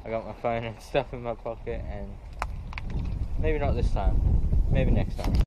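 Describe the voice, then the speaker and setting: A man's voice: mid-range voice, moderate pace, dull audio. Young guy vlogging outdoors in wind. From Australia. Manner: chatty, laughing, hesitant asides. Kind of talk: casual vlog narration. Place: outdoors by windy waterfront. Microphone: action camera on hand or head. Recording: wind noise, music underneath.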